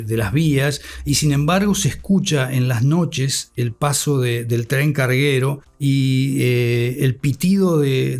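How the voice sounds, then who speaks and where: An older man with an Argentine accent giving a reflective interview, articulate, with thoughtful halting pauses. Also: medium pitch, darkish tone. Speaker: interview guest, radio academic.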